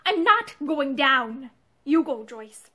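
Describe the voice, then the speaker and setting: A woman's voice: high-pitched, sing-song, slow pace, pushed and bright, exaggerated voice. A woman voicing a proud, wounded young wife who speaks emotionally and with defiance, in a storybook reading. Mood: anguished.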